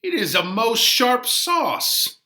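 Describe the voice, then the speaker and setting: A man reading dramatically as witty, boisterous young gentlemen trading teasing, emphatic Shakespearean banter. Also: very high-pitched, very animated, slow, pitched above the performer's own voice, heavily acted. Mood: teasing, exuberant.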